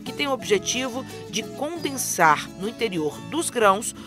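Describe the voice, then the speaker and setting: A woman reading a scripted instructional voice-over in a clear, even manner. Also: on the high side, normal speed. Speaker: narrator. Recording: music under the voice.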